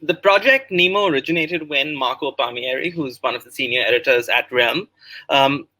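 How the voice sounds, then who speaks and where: A middle-aged man with an Indian accent talking about his work as a writer, pausing and picking his words as he goes. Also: medium pitch, sharp tone, slight background noise, poor audio. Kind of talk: pre-recorded talk about own project.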